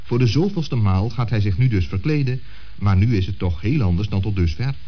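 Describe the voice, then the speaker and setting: A muffled old radio recording presents a man as a children's storyteller. His voice is fairly low, with very lively rises and falls as he narrates to young listeners. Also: brisk.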